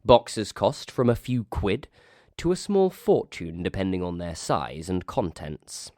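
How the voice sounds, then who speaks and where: A man with a Northern English accent reading a comic ghost story aloud, lively, lifting into high voices for the quoted characters. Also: a little slow, no echo, clean studio sound. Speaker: reader.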